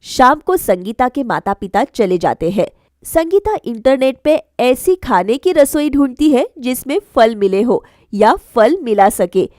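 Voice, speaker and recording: A woman's voice: a little high, a little mellow, dry recording, studio quality. A woman narrating a scripted bedtime story for a cartoon.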